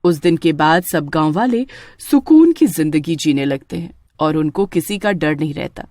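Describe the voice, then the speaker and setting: A woman narrating a scripted story as an expressive storyteller. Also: low voice, moderate pace, no room sound, studio quality.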